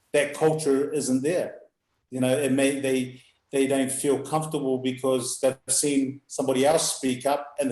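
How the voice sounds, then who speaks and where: A middle-aged man with a loud, booming voice, a youth rugby coach talking in halting bursts with frequent laughs. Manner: halting, restarts, laughs often. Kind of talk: live video call chat. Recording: echoey room.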